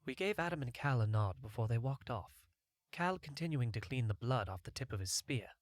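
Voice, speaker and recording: A man's voice: medium pitch, lively intonation, a little fast, dry recording. A man reading a first-person fantasy adventure story as a scripted audiobook narration.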